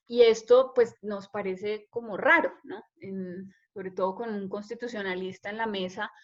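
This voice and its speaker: A woman's voice: medium pitch, fairly even intonation, normal speed, highs cut off. A woman moderating an academic panel, asking long layered questions in a courteous way.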